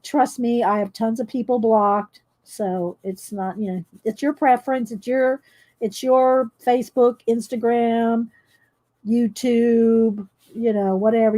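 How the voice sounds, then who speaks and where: A middle-aged woman with a loud voice that carries, chatting casually and rambling with frequent laughs while she colors for her viewers. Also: a little high. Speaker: coloring channel host.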